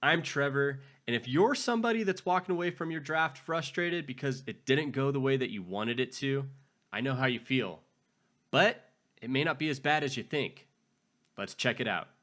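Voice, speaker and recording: Raspy-voiced man hosting a sports channel. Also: mid-range voice, animated, moderate pace, a bit bright. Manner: conversational, frequent restarts and repeated words. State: raspy from recent illness. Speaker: fantasy football channel host. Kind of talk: casual advice talk to camera.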